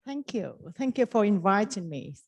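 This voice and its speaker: A woman's voice: mid-range voice, very animated, moderate pace, no echo. A woman from Vietnam with a Vietnamese accent, a native Vietnamese speaker, telling her personal story as a panelist. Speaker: investment firm partner on a panel.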